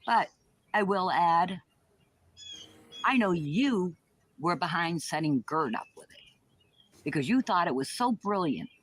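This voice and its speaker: A woman's voice: lowish voice, lively intonation, slow pace, pauses often, a little hiss or hum. A woman delivering a taunting, foul-mouthed monologue.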